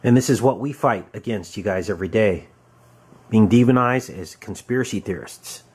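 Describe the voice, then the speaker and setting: A man giving informal, halting conspiracy commentary on a film, explaining as he goes. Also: on the low side, background noise. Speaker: video commentator. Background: music.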